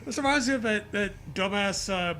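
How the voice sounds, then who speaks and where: A man's voice: high-pitched, moderate pace, soft background music. A man hosting a dramatic reading of a story, mixed with crude, joking commentary. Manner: profane, jokey, animated reactions.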